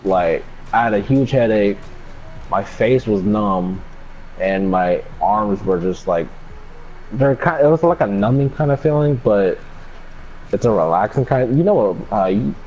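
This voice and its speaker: Young guy telling a story, laid back n sweary, kinda low voice, muffled lofi mic. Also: animated, unhurried, darkish tone, soft background music. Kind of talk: casual personal storytelling. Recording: dull lo-fi mic with background noise.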